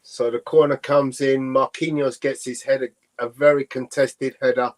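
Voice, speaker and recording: A man's voice: mid-range voice, little pitch movement, moderate pace. A man calling the play and pushing back in debate on a live football watchalong.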